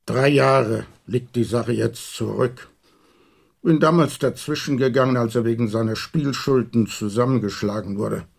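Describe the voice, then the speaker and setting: A man's voice: medium pitch, normal speed, gravelly. A man acting in a radio play as an old, stubborn, distrustful factory security veteran, guarded and terse. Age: older.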